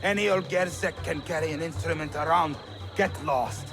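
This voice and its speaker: A male actor as a mocking Ottoman palace guard in a game. He is curt and scornful in a high register. Music is clearly audible behind him. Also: normal speed, close to an everyday voice. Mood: dismissive. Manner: brusque, scornful. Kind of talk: video game acting.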